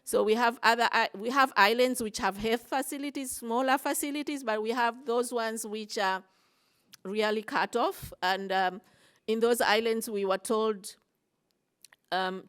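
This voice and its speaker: A woman's voice: medium pitch, a bit bright, clean tone. A woman answering audience questions at a conference session, hesitant, with many fillers and restarts. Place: conference breakout room.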